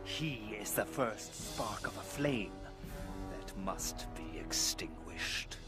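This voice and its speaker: A grave, scheming animated villain. He talks slowly and emphatically, with big swings in pitch and an ominous air. Loud music surrounds him. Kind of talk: animated film dialogue. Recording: loud film music behind the voice.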